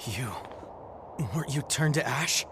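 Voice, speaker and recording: A man's voice: mid-range voice, unhurried, vocal fry, very noisy. A man playing a blunt fighter on guard in a video game. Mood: shocked.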